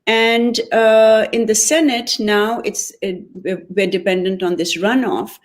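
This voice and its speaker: Woman from Pakistan, interview guest, opinionated, measured delivery. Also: mid-range voice, steady tone. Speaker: guest, political club member. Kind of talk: conversational interview.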